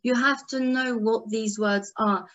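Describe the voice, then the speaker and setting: Slow-paced woman lecturing, Turkish native. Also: a little high, fairly even intonation, fairly bright tone, highs cut off. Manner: measured teaching, frequent fillers and rhetorical questions. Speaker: academic English instructor. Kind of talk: online workshop lecture.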